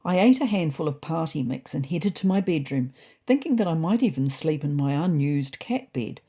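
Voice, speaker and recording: A woman narrating a storybook diary as a fussy, indignant male house cat, in a wry, prim, deadpan manner. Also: low-pitched, moderate pace, husky, heavily acted. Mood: wry, worried. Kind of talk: first-person storybook narration.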